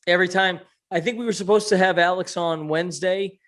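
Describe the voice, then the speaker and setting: A man co-hosting a podcast in casual, joking chat, tired and a little hoarse. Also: high-pitched, fairly even intonation, brisk, a bit bright. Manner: joking, rambling explanations. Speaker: podcast co-host.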